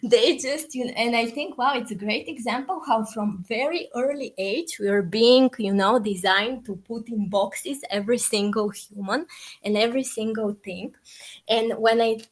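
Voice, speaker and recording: A woman's voice: a little high, moderate pace. A woman from Bulgaria with a Bulgarian accent, answering interview questions informally, hedging and thinking aloud as she goes. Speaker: podcast guest, peace activist.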